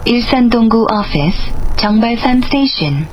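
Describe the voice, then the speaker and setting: A woman reading scripted stop announcements in deliberate, separated phrases. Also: on the high side, animated, a little hiss or hum. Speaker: English bus stop announcer.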